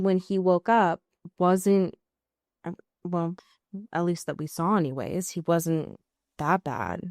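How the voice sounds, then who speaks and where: A woman's voice: fairly low pitch, warm tone, no room sound, studio quality. A woman podcast co-host chatting casually as she recaps a show, acting out bits of dialogue and swearing freely.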